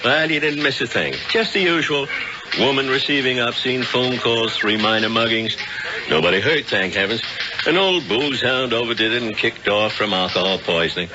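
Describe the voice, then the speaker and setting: An actor in an American radio drama playing a genial, wisecracking city police lieutenant. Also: male voice, mid-range voice, normal speed, natural voice. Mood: dry, wry. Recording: dull vintage radio recording, music.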